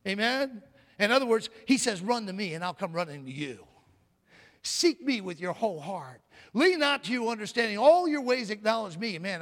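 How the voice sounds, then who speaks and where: A man preaching a sermon with a loud voice, often calling out "Amen?" and swinging from booming to soft. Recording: long hall reverb.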